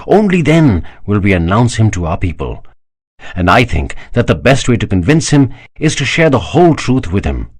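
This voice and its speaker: A man's voice: lowish voice, sing-song, moderate pace, breathy edge. A man reading a proud, devout emperor who speaks with solemn courtesy and rising passion. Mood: measured. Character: proud emperor, older man, fervent believer.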